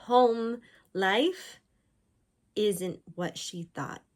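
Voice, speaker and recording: A woman with a medium-pitched voice speaks as an author giving an informal book talk. She tells things in a chatty, animated storyteller's manner, as if chatting to readers. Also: very slow. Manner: animated storyteller, voicing characters' thoughts in quotes. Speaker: author discussing own books.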